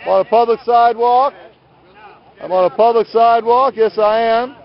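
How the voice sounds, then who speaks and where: A male street preacher with a very high-pitched, combative delivery. He scolds and argues loudly in a confrontational preaching style. The audio is a dull, lo-fi outdoor camera recording. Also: moderate pace. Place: outdoors at night on a city sidewalk beside a road. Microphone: handheld camera. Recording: muffled lo-fi phone camera audio.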